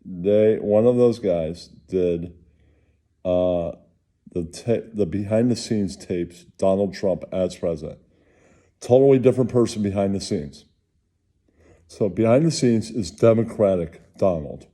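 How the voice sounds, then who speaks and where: A man hosting with casual, rambling political commentary, halting and repeating himself, sometimes crude. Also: fairly low pitch, warm tone. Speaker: host.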